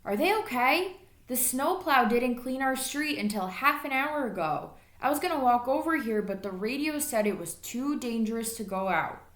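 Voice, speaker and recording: Hearty, anxious storybook janitor, a man, read by a woman. Highish voice, echoey room. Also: lively intonation, normal speed, fairly bright tone. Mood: eager, worried.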